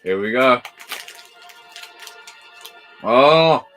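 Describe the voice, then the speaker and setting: A man hosting a live watch party with a croaky morning voice, talking nervously, swearing and pleading. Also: medium pitch, lively intonation, extremely slow, a bit bright, faint music behind. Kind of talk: live reaction commentary.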